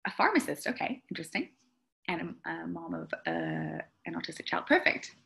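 A woman's voice: low voice, wildly swooping pitch, fairly quick, creaky, a bit bright. A young New woman, a speech therapist, giving a chatty webinar presentation over a video call. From New Zealand. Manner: chatty, explanatory, with frequent fillers. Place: indoor room with plants behind. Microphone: video call webcam.

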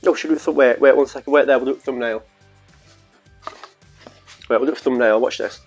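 A man's voice: medium pitch, moderate pace, faint music behind. A young man with a Northern English accent, chatting playfully to camera in a vlog, rambling with fillers and asides, on a lapel mic in a small room. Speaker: vlogger. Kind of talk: casual chat to camera. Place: small room at home, later outdoors in a park.

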